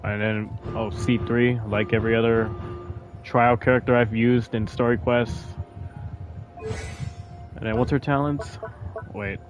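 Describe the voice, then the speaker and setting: A man's voice: mid-range voice, steady tone, unhurried, pauses often. A man giving casual gameplay commentary, thinking aloud informally as he plays. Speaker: gamer playing and commenting. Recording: game music behind the voice.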